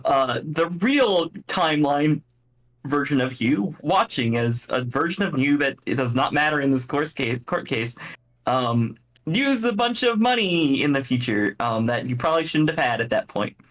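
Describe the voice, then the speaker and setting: Lively, higher-voiced man running a game. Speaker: game master. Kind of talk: casual improvised narration of a comedy tabletop game.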